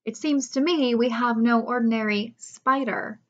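A woman's voice: on the high side, moderate pace, lo-fi sound, close to an everyday voice. A woman voicing a bewildered, skeptical farmer's wife from a storybook, questioning and down-to-earth.

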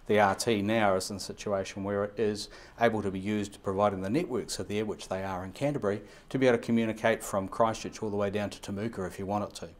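Fast-talking New Zealand man, lapel mic. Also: lowish voice, steady tone, a little hiss or hum. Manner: fast, run-on explanations with many fillers and restarts. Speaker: radio equipment supplier. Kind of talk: interview answers. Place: indoor showroom.

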